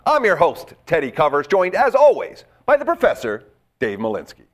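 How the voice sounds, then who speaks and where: A man hosting a sports betting show, with a gravelly voice and an animated, chatty delivery. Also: a little high. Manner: animated, talks up his bets with quick asides. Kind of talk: casual sports betting talk.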